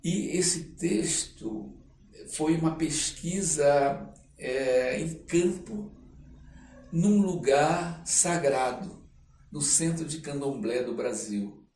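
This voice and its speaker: An older Brazilian man shares his stories as an interview guest in a conversational, reflective manner. He is expressive and often seeks agreement as he goes. The recording is roomy, with him set back from the mic and faint noise underneath. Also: on the high side, very slow, far from the mic. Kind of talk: conversational storytelling.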